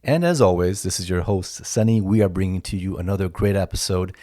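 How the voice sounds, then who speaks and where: A man hosting his podcast in a studio, talking in a relaxed, casual way with lots of "um" and "you know" and repeated words. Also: low voice, fairly quick. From Toronto area, Canada. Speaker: podcast host. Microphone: studio headphones and mic.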